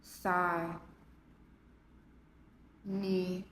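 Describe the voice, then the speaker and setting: Female meditation guide, calm and very unhurried, measured phrasing, live in an echoey studio with faint noise. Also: lowish voice. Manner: calm, measured, with long pauses between phrases. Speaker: yoga nidra instructor. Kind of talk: guided meditation, spoken instructions. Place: indoor urban yoga studio, recorded live. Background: faint ambient room and city noise.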